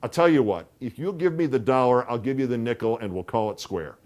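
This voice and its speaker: A man's voice: mid-range voice, very animated, normal speed, darkish tone, natural voice. A man reading a story aloud, voicing a careful, stiff grocer in a storybook. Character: careful, stiff middle-aged male grocer.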